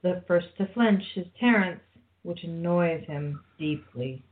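A woman's voice: lowish voice, a little slow, phone-quality sound. A woman narrating a radio play, reading scripted storytelling passages.

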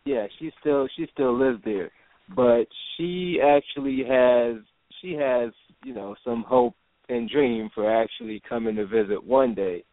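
A man from Jamaica, a guest in an interview, talking haltingly with many restarts. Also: mid-range voice, no room sound. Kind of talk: casual interview talk. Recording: phone line, dry.

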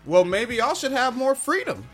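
A sarcastic podcast co-host with a mid-range voice that jumps around lively in pitch. The talk is mocking and casual, and music plays clearly underneath. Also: moderate pace.

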